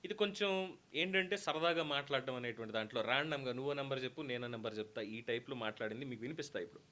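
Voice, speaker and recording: A man's voice: mid-range voice, racing, pushed and bright, slight background noise. A man hosting in a casual, chatty commentary style, dropping English words into his talk. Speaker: show host.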